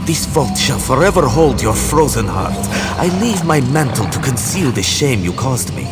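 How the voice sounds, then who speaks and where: A male actor playing a sly, menacing thief and possessive seducer in a fantasy game, with slow, theatrical, deliberate speech. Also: medium pitch, sing-song, loud music behind the voice, natural voice. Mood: cold, wounded. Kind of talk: video game voice acting.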